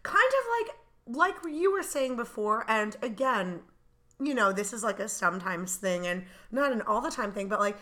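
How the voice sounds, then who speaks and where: A young woman in casual chat, trading quick, wry quips. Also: mid-range voice, very animated, pushed and bright.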